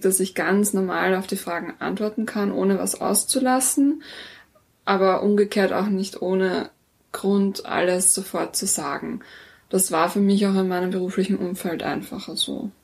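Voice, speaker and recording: A woman with an Austrian accent, an interview guest explaining things casually and often rephrasing herself. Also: mid-range voice. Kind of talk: casual reflective interview talk.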